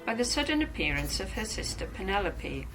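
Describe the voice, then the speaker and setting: A woman's voice: mid-range voice, sing-song, brisk, a bit bright, soft background music, rough recording. A woman narrating a novel as a scripted, dramatized reading.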